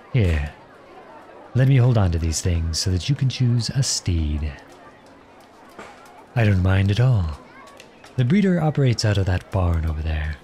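A man acts out a charming outlaw prince in an ASMR roleplay, with a deep, soft-edged mellow voice. He talks to the listener in a courtly, gently teasing way, with lively swings in pitch. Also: a little hiss or hum. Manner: courtly, playful, tender.

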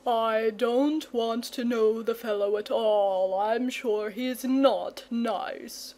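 Storybook dragon, lazy and timid, slow drawl, lower and hazier than the narrator, as a woman puts him on. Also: steady tone, warm tone, low-quality recording. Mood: plaintive. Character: lazy, languid old male dragon, gentle and timid.

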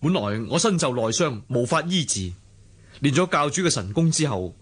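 Deferential young martial-arts hero for radio drama; lively intonation, slightly rough voice, Hong Kong Cantonese accent. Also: male voice, mid-range voice, moderate pace. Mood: grateful. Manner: deferential, earnest. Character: loyal, humble, earnest young swordsman hero.

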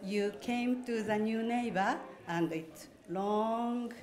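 Older woman speaking slowly and carefully. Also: on the high side. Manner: simple, careful phrasing. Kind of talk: casual explanation to camera. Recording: roomy hall echo.

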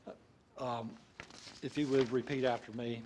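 A man's voice: mid-range voice, steady tone, normal speed, background noise. An older man, speaking at a public ceremony in a folksy way as the presiding official. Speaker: official presenting a promotion and administering an oath. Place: large indoor council chamber with audience. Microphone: stand microphone, sometimes stepping away.

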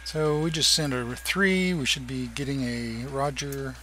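A man giving casual, terse, halting commentary as an amateur radio operator demonstrating on screen. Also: mid-range voice, fairly even intonation, sharp tone. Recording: clear background noise.

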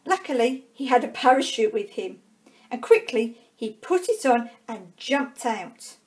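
High-pitched woman reading a children's storybook slowly. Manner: expressive, dramatic pauses and drawn-out words for young listeners. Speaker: primary school staff member reading a storybook. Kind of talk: children's storybook read-aloud.

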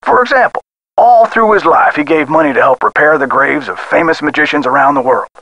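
An actor playing a friendly, folksy theater caretaker in a video game, chatty and a little hesitant. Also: male voice, on the high side, brisk, fairly bright tone, poor audio. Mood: helpful.

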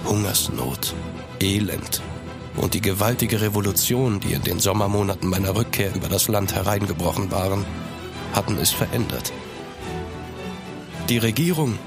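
A man's voice: fairly low pitch, natural voice. An actor playing a grave, weary French aristocrat, narrating his past formally and with melancholy in a German audio drama. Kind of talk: scripted first-person narration in audio drama.

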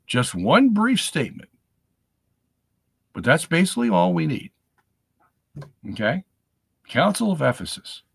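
A middle-aged man hosting a live stream from his home study, chatting casually and checking in with "okay?", hoarse from a lingering cold. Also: medium pitch, fairly bright tone, no room sound, clean studio sound. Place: home study lined with bookshelves.

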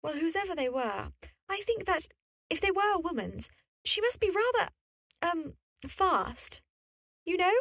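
A woman playing an affectionate, gently teasing young sister in a period drama. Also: very high voice, very animated, unhurried, vocal fry, exaggerated voice. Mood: curious, coy. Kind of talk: period radio drama acting.

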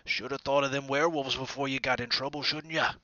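A man's voice: medium pitch, steady tone, fast talker, bright, forceful tone, no echo, muffled recording, pitched above the performer's own voice, natural voice. A man voicing a nasty, gleeful old male caretaker who sneers and gloats. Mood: gloating.

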